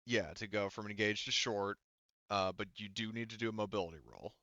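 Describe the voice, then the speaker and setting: A man's voice: lowish voice, fairly quick, sharp tone, no echo. A man casually explaining rules and narrating as the game master of a tabletop roleplaying game. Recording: dull lo-fi microphone.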